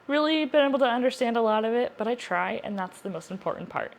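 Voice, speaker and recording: A woman with a mid-range voice talks casually to her viewers as a book reviewer. Her delivery is chatty and earnest, and she often repeats a word to stress it. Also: mid-range voice. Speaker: book reviewer, video host.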